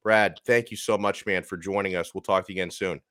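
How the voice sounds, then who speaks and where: A man in his thirties hosting a sports podcast in a casual, energetic chatty way. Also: low-pitched, brisk, no echo, clean studio sound. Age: 30s. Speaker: podcast host.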